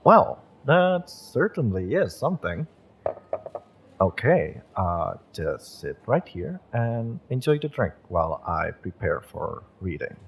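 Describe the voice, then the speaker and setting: Man playing a slow, low-voiced gentle witch shopkeeper. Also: sing-song, gravelly, a little hiss or hum, heavily acted. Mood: friendly, musing. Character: gentle, courteous male witch, magick shop owner and tarot reader. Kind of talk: roleplay audio, acted monologue to the listener.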